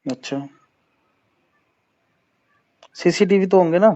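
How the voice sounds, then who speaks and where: A man's voice: high voice, takes it slowly, warm tone, no room sound. Man asking pointed questions on a muffled call. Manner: polite, probing questions. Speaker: phone caller. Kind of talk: tense phone conversation. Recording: muffled phone call recording.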